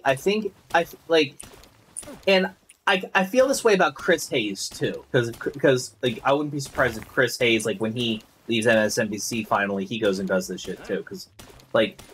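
A young man streaming a game from home on a headset, chatting loudly and casually, swearing and restarting often. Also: medium pitch, sing-song, normal speed, slight background noise, rough recording. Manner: loud, rambling, swears freely, many restarts. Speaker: streamer and podcast host. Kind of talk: casual chat while gaming. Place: home room at a gaming desk.

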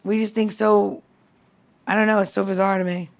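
A woman's voice: medium pitch, flat delivery, normal speed, creaky. Female co-host, mid-range voice, casual and candid, rambling conversational delivery with offhand swearing. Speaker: podcast co-host.

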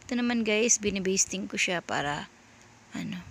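A Filipino woman giving a casual step-by-step sewing tutorial in a halting way, often searching for words as she addresses viewers. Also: medium pitch, lively intonation, fairly bright tone, slight background noise.

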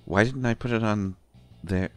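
A man playing an affectionate, bashful partner in an intimate roleplay audio, talking haltingly with stammers and gentle teasing. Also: lowish voice, clean tone, soft background music, natural voice. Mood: playful. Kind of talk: intimate roleplay audio acting, one side of a conversation.

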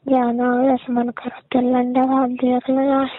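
A woman with a Mewati accent chats casually over the telephone. Her voice sits high and moves little in pitch. The sound is thin and narrow, as on a recorded call. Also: monotone, unhurried, no room sound. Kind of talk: casual private phone chat.

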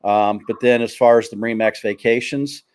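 A middle-aged man hosting a casual live video chat over a headset, talking in a relaxed, rambling way. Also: mid-range voice, normal speed, smooth. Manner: relaxed, rambling, many fillers. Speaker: host. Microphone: headset on a video call.

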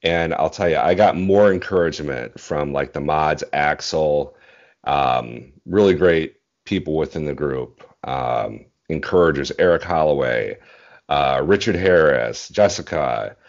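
A man in his forties with a very deep bass voice, speaking modestly and a little haltingly in a casual interview over a video call. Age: 40s. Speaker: interview guest, bass singer. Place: small indoor room at home. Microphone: earbud headset on video call. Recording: dull, muffled video-call audio.

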